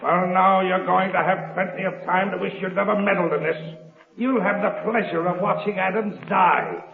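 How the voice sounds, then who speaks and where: An actor playing a ruthless, gloating gangster villain in a menacing, taunting 1930s radio style. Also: male voice, sits high, fairly even intonation, normal speed, gravelly, narrow, tinny audio. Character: ruthless gloating double-crossing swindler and killer villain. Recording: scratchy band-limited 1930s radio, echoey, noisy.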